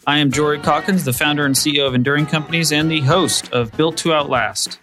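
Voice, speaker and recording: Male podcast host, formal scripted delivery, soft music bed. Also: fairly high pitch, fairly even intonation, quick pace. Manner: polished, formal. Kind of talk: scripted intro and interview questions.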